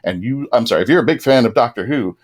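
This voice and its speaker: A man's voice: medium pitch, lively intonation, quick pace. A man hosting a fan panel, talking casually to the audience and fellow panelists. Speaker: panel host.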